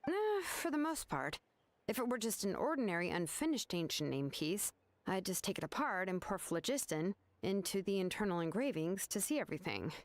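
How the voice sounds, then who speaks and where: An actress voicing a laid-back young craftswoman who explains things in a relaxed, matter-of-fact way. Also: mid-range voice, moderate pace, no room sound. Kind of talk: video game voice acting.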